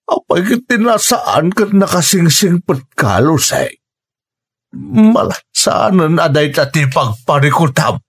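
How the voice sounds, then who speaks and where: A man's voice: on the high side, very animated, takes it slowly, breathy edge, full character voice. A man playing a reassuring older father in a radio drama, with a Filipino accent. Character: reassuring older widowed father welcoming a partner.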